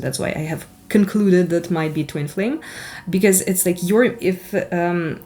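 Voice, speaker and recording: A Ukrainian-accented woman whose first language is Russian, chatting her way through a tarot reading. Her voice sits a little low, and she rambles freely and giggles often. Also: a little hiss or hum. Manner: animated and giggly, rambling, candid. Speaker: tarot reader. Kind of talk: chatty spiritual card reading.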